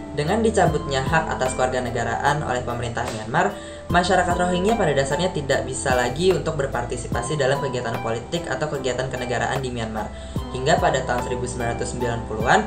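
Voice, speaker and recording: A young woman reading a scripted explainer as a student presenter. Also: a bass voice. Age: young adult. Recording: echoey room, music behind. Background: background music.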